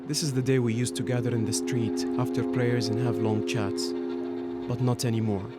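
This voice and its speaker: A man playing a somber Syrian activist, reading a measured, grave first-person diary as narration for a radio documentary. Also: medium pitch, flat delivery, lightly acted. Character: Syrian activist recounting life under occupation, somber. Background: music.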